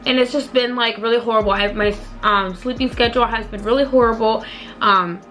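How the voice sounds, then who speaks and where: Hoarse young woman chatting to camera. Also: a little high, steady tone, brisk, a bit bright, faint music behind. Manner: chatty and rambling, full of "like" and "um". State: hoarse, voice partly lost. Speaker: beauty vlogger. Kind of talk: casual storytime vlog.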